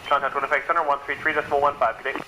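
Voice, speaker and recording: A man working as an air traffic controller, speaking brisk standard phraseology over an aviation radio. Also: a little high, flat delivery. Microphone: radio transmission heard through cockpit headset feed. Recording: noisy crackly aircraft radio feed.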